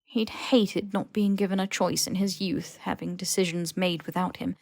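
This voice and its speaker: A woman reading fan fiction as expressive scripted narration, with emotive, dramatic phrasing. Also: on the low side, moderate pace, creaky, no echo. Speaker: podfic reader.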